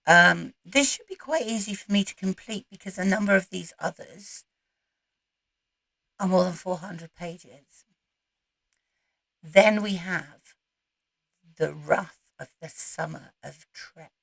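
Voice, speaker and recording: A woman talks casually to her viewers in a croaky voice that is still recovering. It is heavy with gravelly fry and moves at an easy, slightly slow pace with pauses between short phrases. The sound is dry and close, with little room around it. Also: lowish voice, a bit bright, dry recording. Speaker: host of a book channel. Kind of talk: casual chat to camera about reading plans.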